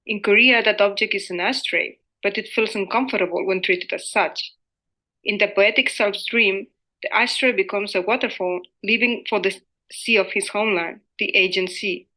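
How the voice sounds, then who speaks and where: A woman's voice: mid-range voice, steady tone, normal speed, bright, forceful tone, low-quality recording. A woman from Spain, a native Spanish speaker, reading a scripted conference paper in a formal, careful way as an academic researcher. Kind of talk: scripted conference presentation read aloud.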